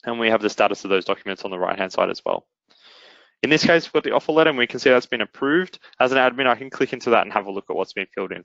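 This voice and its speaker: Quick-talking man presenting a software demo. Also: on the low side, gravelly, dry recording, lo-fi sound. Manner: instructional, step-by-step. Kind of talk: explanatory webinar demo narration.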